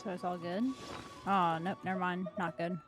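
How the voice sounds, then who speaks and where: A woman's voice: on the low side, little pitch movement, normal speed. A woman chatting casually as a co-op gaming partner, easygoing, informal and slangy. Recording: faint game music behind. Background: game music.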